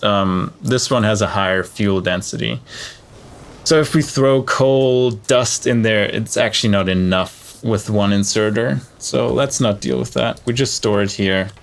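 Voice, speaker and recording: A man's voice: on the low side, vocal fry. A man giving casual live gameplay commentary, thinking aloud as he plays. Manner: thinking aloud while playing. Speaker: game streamer.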